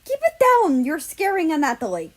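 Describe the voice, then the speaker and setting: Lady doing protective cat guy from a story, quick to scold, voice pushed up high. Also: huge pitch swings.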